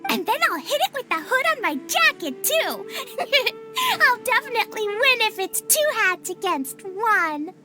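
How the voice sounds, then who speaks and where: Tiny little girl, super high and slow paced, eager and naive, game voice, loud music behind. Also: big pitch swings, full character voice. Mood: eager, proud. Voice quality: small childlike voice. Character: sweet, earnest, bubbly little girl knight. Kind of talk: animated video game dialogue.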